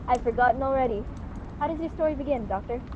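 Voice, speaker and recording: A woman's voice: high voice, fast talker, close to an everyday voice. A woman playing a cheery, eager male announcer in 1940s radio style, chummy and prompting, on a dull, noisy recording.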